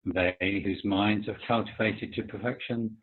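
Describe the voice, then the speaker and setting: A man reading scripture aloud over a video call in a deliberate, phrase-by-phrase way. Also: fairly low pitch, fairly even intonation. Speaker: reader, member of a Buddhist community. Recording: tinny, muffled video-call line.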